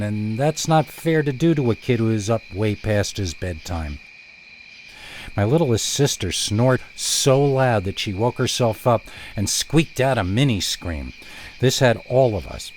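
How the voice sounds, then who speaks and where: A man reading a first-person scary story as a narrator, expressive and dramatic, leaning on words with deliberate pauses. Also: lowish voice, slight background noise, poor audio.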